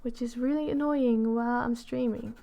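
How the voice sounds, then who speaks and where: A woman in her twenties chatting casually on an art livestream while she draws, unhurried and pausing between short phrases. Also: a little high, steady tone, creaky, mellow. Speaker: art streamer and pastel artist. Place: indoors at a drawing desk.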